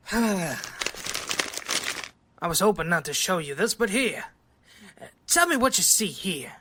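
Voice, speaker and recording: A man's voice: high-pitched, sing-song, takes it slowly, sharp tone, slight background noise, exaggerated voice. An actor playing a precise, earnest man of science, formal and insistent, in an audio drama. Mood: grave, earnest. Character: earnest, precise scientist doctor calmly explaining the impossible.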